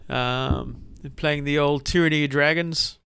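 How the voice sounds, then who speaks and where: A man's voice: fairly high pitch, fairly even intonation, unhurried, vocal fry, sharp tone, smooth, dry recording, studio quality. A man with a Brisbane accent chatting in a laid-back way on a podcast, swearing casually. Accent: Australian, Brisbane. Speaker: podcast co-host. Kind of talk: casual chat.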